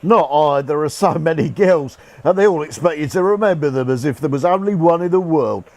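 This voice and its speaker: An actor playing a cheerful, irreverent rough soldier's ghost in a radio play, talking in jaunty, colloquial speech. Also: male voice, on the high side, fairly quick, darkish tone, no echo, natural voice. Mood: cheeky, breezy. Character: cheeky, cheerful old-soldier ghost, rough common man. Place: actor's home.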